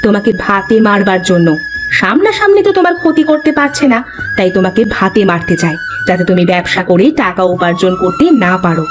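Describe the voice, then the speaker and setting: A woman voicing a concerned, shrewd and devout village fisherman's wife in an animated folk tale, expressive and caring. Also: medium pitch, quick pace, natural voice. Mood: suspicious. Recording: music behind the voice.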